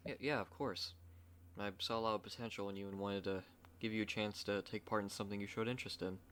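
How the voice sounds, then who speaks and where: A man's voice: fairly low pitch, steady tone, fast talker, a bit bright, clear, resonant tone. A man hosting a roleplay channel, talking casually in an outro. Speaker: ASMR roleplay channel host.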